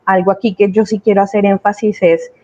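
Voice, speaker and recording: A woman's voice: medium pitch, flat delivery, fairly quick, darkish tone. A woman with a Latin American accent speaking as a webinar panelist, explaining her points with emphasis. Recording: dull, lo-fi online call sound.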